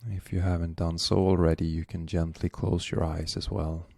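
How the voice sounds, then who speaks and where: A man leading a guided meditation as the guide, speaking gently and unhurriedly with long pauses. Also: deep voice, little pitch movement, vocal fry, no echo.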